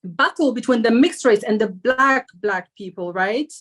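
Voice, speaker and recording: A woman with a fairly high-pitched voice hosts a relaxed conversation. She talks informally and reacts expressively as she makes her points. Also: measured pace. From Polish Nigerian background. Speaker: host. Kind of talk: casual conversation.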